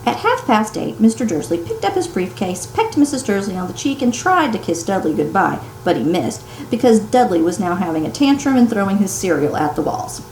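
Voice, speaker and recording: A woman reads a children's story aloud expressively, like a teacher, with dramatic pauses, strong emphasis and hushed turns for suspense. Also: medium pitch, very animated. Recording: roomy echo, some background noise.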